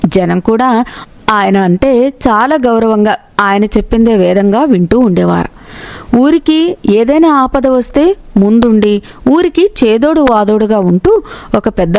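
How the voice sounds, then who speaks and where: Mellow, high-voiced woman narrating a story. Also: dry recording. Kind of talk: scripted moral-story narration.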